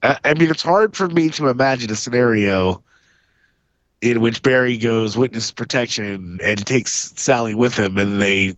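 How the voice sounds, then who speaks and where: A man co-hosting a podcast in casual chat, his voice a little rough from a cold. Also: medium pitch, moderate pace, no room sound, highs cut off. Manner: relaxed, conversational, lively intonation. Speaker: podcast co-host.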